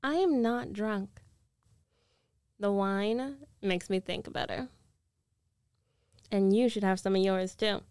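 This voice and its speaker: A woman acts out a rich, domineering ex-girlfriend in a girlfriend ASMR roleplay. She speaks slowly and teasingly, sure of herself and possessive, in a very dry, close-sounding recording. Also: mid-range voice, darkish tone, clear, resonant tone, no room sound. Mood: insistent, possessive. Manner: slow, coaxing, self-assured, teasing.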